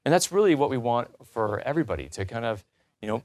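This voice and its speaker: A man's voice: mid-range voice, lively intonation, quick pace, a little mellow. A man hosting a religious talk show in a conversational, earnest and encouraging way.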